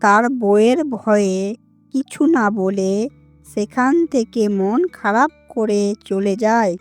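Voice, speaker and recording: A woman's voice: medium pitch, slow pace. A woman giving scripted narration for a cartoon story. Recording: music under the voice.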